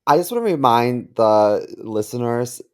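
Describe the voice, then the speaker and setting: Male podcast co-host, medium pitch. Casual comic banter, dry and mock-boastful. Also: very animated, unhurried, clear, resonant tone.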